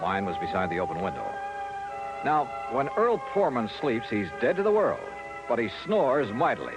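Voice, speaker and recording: Animated hardboiled American private investigator. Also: male voice, medium pitch, poor audio. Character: hardboiled male freelance insurance investigator, dry and skeptical. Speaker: narrator and lead character. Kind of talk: 1950s radio drama acting and first-person narration.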